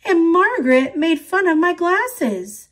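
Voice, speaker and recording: A woman's voice: very high voice, big pitch swings, slow pace, a bit bright, pitched above the performer's own voice, heavily acted. A woman doing a small defensive schoolboy. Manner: defensive, explaining.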